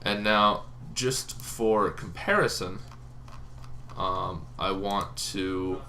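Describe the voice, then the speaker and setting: A man hosting a tabletop gaming channel, explaining casually off the cuff in an informal, halting way, as if thinking aloud. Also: lowish voice, sharp tone. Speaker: host of a tabletop roleplaying lore channel. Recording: noticeable background noise.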